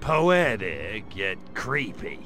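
A man voicing a sarcastic gray alien invader in a video game cutscene, delivering deadpan quips. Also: fairly low pitch, extremely slow, faint music behind, full character voice. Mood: sardonic.

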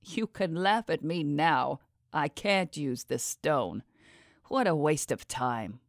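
A woman voicing a gruff, grumbling male stone carver who mutters drily to a baby in a fantasy audiobook. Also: low voice, lively intonation, takes it slowly, no room sound, exaggerated voice. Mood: wry, resigned. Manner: muttering, dry.

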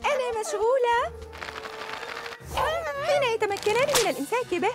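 Cartoon villain girl: mischievous and smug, very high. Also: lively intonation, moderate pace, loud music behind the voice. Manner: taunting, smug. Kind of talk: cartoon dub acting.

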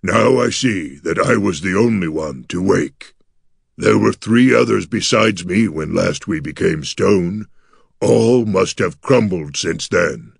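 A timid, gentle gargoyle from a fantasy storybook. The voice is very low and extremely gravelly, with a husky rasp. He speaks slowly and mournfully, like a glum but kindly monster. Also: animated, no echo, clean studio sound, exaggerated voice. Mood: glum, sorrowful. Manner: slow, mournful delivery.

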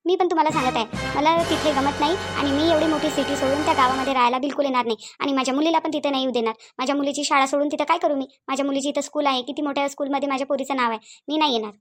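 A woman's voice: sits high, steady tone, racing, few pauses, faint music behind, natural voice. A woman playing a stubborn, bossy city wife in a cartoon, arguing insistently and repeating questions in a Vidarbha Marathi accent. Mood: defiant. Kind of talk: cartoon dialogue.

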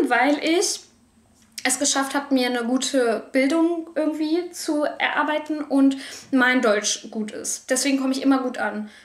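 A young woman from Germany, telling personal stories to the camera from her sofa, candid and animated. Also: high-pitched, echoey room. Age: young adult. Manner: candid, animated, gestures a lot. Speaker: vlogger. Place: living room, on a sofa.

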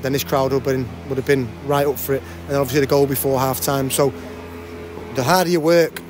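Fast-talking football manager. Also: male voice, medium pitch, music in the background. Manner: fast, animated, frequent restarts and repeated words. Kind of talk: post-match interview. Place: outdoors by a cafe banner at a stadium. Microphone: handheld interviewer mic.

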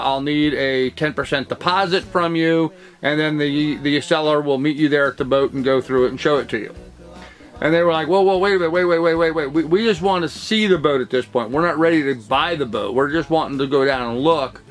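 Rambling middle-aged man, slightly high voice. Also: a little fast, soft background music. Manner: rambling, with many fillers and restarts. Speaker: vlogger, real estate broker. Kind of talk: casual talking-head vlog. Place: cluttered home office or storage room.

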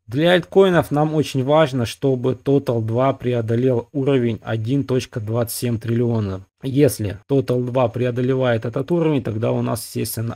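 Casting: male crypto host, gravelly and mellow, casual chart-talk voice-over. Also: mid-range voice. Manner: casual, many fillers, addresses viewers as guys. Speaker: crypto market analyst, channel host. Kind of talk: screen-recorded chart analysis.

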